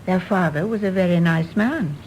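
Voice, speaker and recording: A woman playing a composed, wistful and candid English widow in a radio drama, with an English accent and a measured, genteel manner. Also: fairly low pitch, a little mellow, a little hiss or hum, lightly acted, low-quality recording. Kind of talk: British stage-play radio drama acting.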